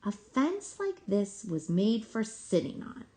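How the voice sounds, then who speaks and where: Friendly, curious little storybook girl played by a woman reader, folksy, with animated, varied pitch. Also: slow. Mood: friendly, inviting.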